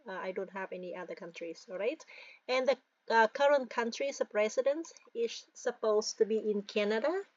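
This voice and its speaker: A woman with a Vietnamese accent leads a patient screen-recorded tutorial, pitched a little high and often checking in with her viewers. Her voice is dry and close, with a slightly dull, muffled sound. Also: expressive, moderate pace, dry recording. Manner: frequent "all right?" and "guys", patient step-by-step. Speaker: tutorial presenter. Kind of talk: screen-recorded form walkthrough.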